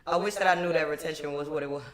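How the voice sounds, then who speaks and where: A woman's voice: sits low, very fast, roomy sound, slight background noise. A woman in her twenties on a panel, talking in an emphatic, playful, preaching way. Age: 20s. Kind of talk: lively casual panel chat.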